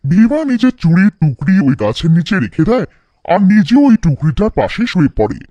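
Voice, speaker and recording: Animated, mellow male storyteller. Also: on the high side, fairly quick, dry recording, muffled recording. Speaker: narrator. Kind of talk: scripted children's folktale narration.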